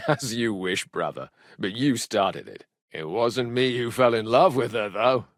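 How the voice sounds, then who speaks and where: An actor playing a cheeky, good-humored medieval rogue and loyal younger brother in a video game, with colloquial, irreverent banter. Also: male voice, mid-range voice, expressive, a little slow, no echo, clean studio sound, lightly acted. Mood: relaxed, earnest. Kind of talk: video game dialogue acting.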